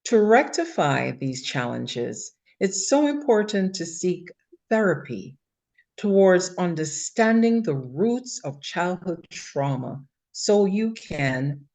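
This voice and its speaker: A woman co-hosting a talk show, laying out points in a measured, list-like delivery. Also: on the low side, very animated, darkish tone. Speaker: podcast co-host. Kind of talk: prepared talk-show discussion.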